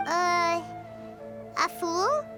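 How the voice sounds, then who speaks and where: A tiny game fairy with an extremely high, squeaky, childlike voice. She swoops around in pitch and speaks unhurriedly, curious and blunt, with loud children's music behind her. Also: expressive, very slow, heavily acted. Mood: curious, puzzled. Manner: inquisitive, exclaiming. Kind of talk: video game cutscene voice acting.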